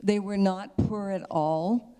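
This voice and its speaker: A woman's voice: medium pitch, fairly even intonation, takes it slowly, dark tone, smooth. A woman in an audience giving an informal comment and asking a question.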